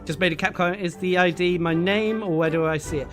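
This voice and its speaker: A man's voice: high-pitched, brisk. A man streaming, losing his voice, chatting casually with lots of fillers as he reacts to a game showcase. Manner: rambling, chatty, with filler words and laughs. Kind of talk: casual live commentary. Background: showcase music playing.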